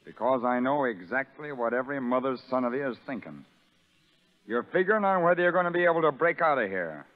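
An actor playing a sadistic, vengeful prison sergeant who taunts and barks orders in a 1930s radio drama. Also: male voice, mid-range voice, lively intonation, fast, natural voice. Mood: furious, bullying. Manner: menacing, taunting, barking orders. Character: sadistic, vengeful male prison sergeant bullying new convicts. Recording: narrow muffled 1930s radio broadcast, reverberant.

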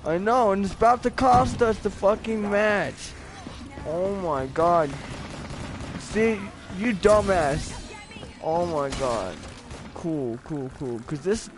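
A young man in casual game chat with teammates while streaming, slangy and foul-mouthed, talking into a headset. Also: high-pitched. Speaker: gamer live-streaming a co-op game. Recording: game audio under voice. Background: game music and effects.

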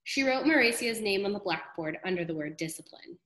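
A woman reads a children's storybook aloud in an echoey room. Her narration is expressive and deliberate, with a mid-pitched voice.

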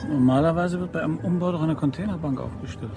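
An actor playing a cocky, flirtatious billiards hustler in a German crime radio play. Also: male voice, a little high, fast, music in the background, close to an everyday voice. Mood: casual, probing.

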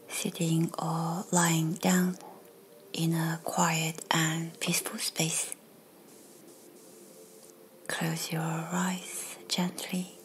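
A woman reading a scripted guided meditation in a soft, breathy, near-whispered voice, gentle and measured with long pauses. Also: on the low side, a little hiss or hum, poor audio. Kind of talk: slow, soothing guided meditation, scripted narration. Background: faint music.

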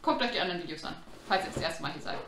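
A woman's voice: fairly low pitch, lively intonation, very fast. A woman in her twenties hosting a casual unboxing to camera, opinionated, blunt and slangy. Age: 20s. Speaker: host of an unboxing channel. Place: small room indoors, on a sofa. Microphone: camera mic a short distance away. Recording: roomy echo, faint background noise.

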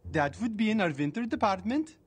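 An actor playing a big, cheerful trading post keeper in an animated film, with a sing-song Scandinavian accent and a politely insistent salesman's manner. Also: high voice, brisk, slight background noise. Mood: cheerful sales patter. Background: music.

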